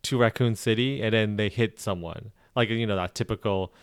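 Smooth-voiced man rambling on a podcast. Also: fairly low pitch, normal speed, no room sound. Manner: rambling, self-correcting, many ums. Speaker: podcast co-host. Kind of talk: casual chat.

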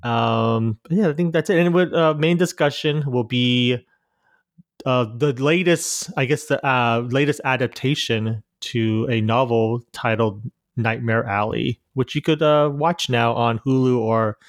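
A man's voice: medium pitch, unhurried, dry recording, studio quality. A man hosting a casual podcast chat, talking loosely and a bit hesitantly as he runs through things. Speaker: podcast host.

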